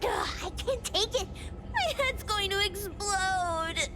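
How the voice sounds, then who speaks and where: A woman voicing an excitable, playful, impatient young girl in an anime game, with dramatic, exclamatory delivery. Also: extremely high pitch, takes it slowly, heavy background noise, heavily acted. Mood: exasperated.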